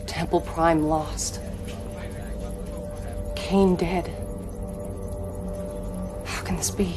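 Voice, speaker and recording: A woman's voice: fairly low pitch, fairly even intonation, drawn-out words. An actress playing a fanatically devoted, suspicious female commander in a video game, intense and dramatic in delivery. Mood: grieving. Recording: dramatic music under the voice.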